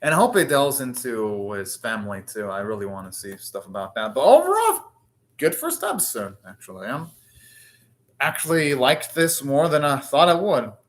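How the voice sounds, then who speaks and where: A man with a medium-pitched voice gives live reaction commentary as an anime host. He speaks casually, rambling a little as he thinks aloud in short remarks. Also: sing-song, moderate pace, fairly bright tone.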